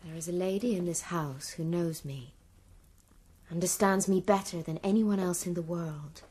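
An actress playing a guarded, quietly resolute young Victorian woman in a radio drama, with an English accent and a hushed, measured delivery. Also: lowish voice, breathy edge. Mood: quietly urgent. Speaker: actor. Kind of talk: broadcast radio drama acting.

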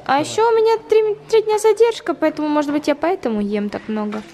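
A woman's voice: reverberant, faint music behind. A young woman chatting casually to a handheld camera in a chilly house, bubbly and talkative. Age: young adult. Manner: chatty, bubbly, quick shifts into excited high tones. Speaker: vlogger. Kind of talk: casual vlog chat to camera. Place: chilly house living room, indoors. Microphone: handheld camera at arm's length. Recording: noisy home camera audio.

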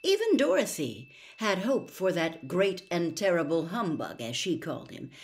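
An older woman reading a classic novel aloud at home, expressive and animated. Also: low voice. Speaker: storyteller. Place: home room with bookshelves. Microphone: webcam close up.

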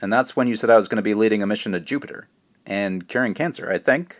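A man's voice: fairly low pitch, quick pace, lo-fi sound, close to an everyday voice. A man voicing an embarrassed twelve-year-old boy who protests in a dry, flustered way. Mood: exasperated.

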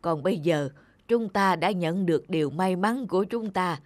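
A woman's voice: fairly low pitch, moderate pace, no room sound, natural voice. A woman voicing an honest, simple old male farmer in a cartoon folktale, earnest and kindly. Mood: grateful, content. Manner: earnest, good-natured. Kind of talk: cartoon folktale character acting.

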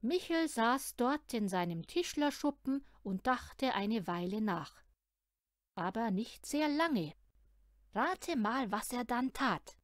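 A woman's voice: a little high, big pitch swings, takes it slowly, no echo, clean studio sound. A woman narrating a children's story from a script, expressively and as if speaking to a young listener. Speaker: audiobook reader.

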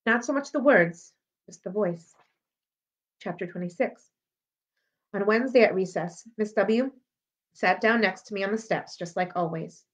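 A woman's voice: medium pitch, unhurried, long pauses. A woman reading a children's novel aloud expressively, as a library storyteller. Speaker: library read-aloud reader. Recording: dull lo-fi audio.

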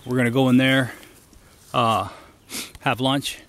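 A man's voice: medium pitch, fairly even intonation, slow, fairly bright tone. A middle-aged man on a long-distance hike, talking casually and upbeat to a handheld camera outdoors, thinking aloud with fillers. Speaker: thru-hiker vlogger. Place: outdoors on a forest trail and in a small town. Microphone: handheld camera at arm's length. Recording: outdoor camera audio with background noise.

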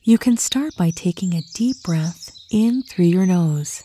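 A woman narrating a guided meditation in a calm, soothing, unhurried way, with a soft voice that turns breathy at phrase ends. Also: lowish voice. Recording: birdsong and nature ambience behind. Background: birdsong, outdoor nature sounds.

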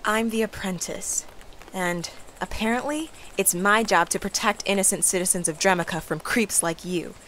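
Woman as a wry young heroine, medium pitch. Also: moderate pace, noisy background, lightly acted. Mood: defiant. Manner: casual, wry, hesitant. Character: awkward, sarcastic girl, reluctant dream apprentice. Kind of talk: audio drama acting and narration.

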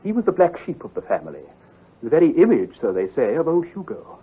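An actor playing an earnest, troubled country doctor who speaks gravely and precisely, with some hesitation, in old-time radio drama style. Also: male voice, a little high, big pitch swings, quick pace, full character voice. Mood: worried. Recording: muffled, husky old radio recording.

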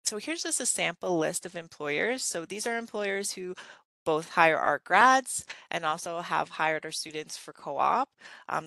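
A woman's voice: low voice, creaky, a bit bright, dry recording. A woman presenting a graduate business program in a webinar, talking through slides in a conversational way with many fillers.

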